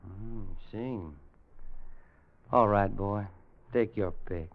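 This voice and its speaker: An actor playing a plain-spoken, kindly rancher in a radio western, folksy, with an American Western drawl. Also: male voice, on the low side, extremely slow, vocal fry, no echo, heavily acted. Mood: easygoing. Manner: folksy, plain, hesitant at times. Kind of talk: old-time radio western narration and acting. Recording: dull vintage radio recording with music.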